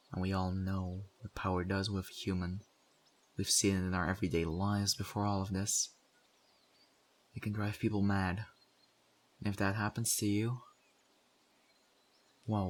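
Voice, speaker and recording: A man playing a stern, principled and exasperated survivor in a zombie apocalypse audio roleplay, speaking earnestly and scoldingly with deliberate, pointed pauses. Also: low-pitched, a little hiss or hum. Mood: disappointed, confronting. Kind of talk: audio roleplay acting addressed to the listener.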